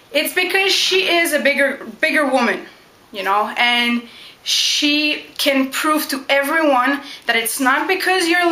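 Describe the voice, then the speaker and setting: Young woman doing a q&a vlog, high bright voice, echoey room. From Montreal, Quebec, Canada. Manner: chatty, direct, keeps asking "you know what?". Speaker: backyard wrestler. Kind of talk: casual Q&A vlog.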